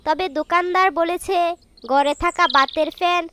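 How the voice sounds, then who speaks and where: A woman's voice: very high-pitched, moderate pace, sharp tone. A woman voicing an eager, thrifty young wife bird in a children's cartoon, speaking in an earnest, girlish way. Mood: explaining. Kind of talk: children's cartoon moral story dialogue. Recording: noisy track with birdsong behind. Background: birds chirping.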